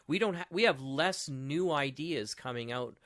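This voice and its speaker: Bright-voiced middle-aged man debating. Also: fairly high pitch, expressive, normal speed. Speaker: podcast co-host. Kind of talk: animated casual panel discussion.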